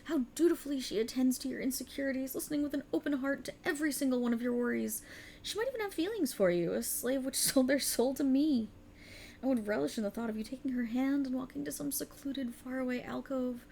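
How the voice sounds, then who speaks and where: A woman acts out a cruel, seductive otherworldly goddess in an ASMR roleplay. Her voice is fairly high with a heavy creak. She speaks with haughty, theatrical condescension, taunting her mortal servant over faint hiss. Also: fast talker, warm tone. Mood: sadistic, seductive. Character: cruel, haughty otherworldly warlock patron, a seductive dark deity. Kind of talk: ASMR roleplay, scripted dramatic monologue.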